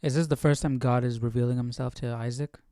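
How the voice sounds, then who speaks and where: A man's voice: mid-range voice, steady tone, fast, mellow, soft background music. A man hosting a casual Bible study, musing and questioning as he reads. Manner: musing, questioning, thinking aloud. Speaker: host of Bible study stream. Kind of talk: casual chat, reading and commenting.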